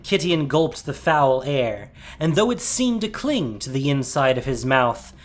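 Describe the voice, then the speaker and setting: A man giving a scripted, dramatic fantasy narration as storyteller, measured and suspenseful. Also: fairly high pitch. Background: music.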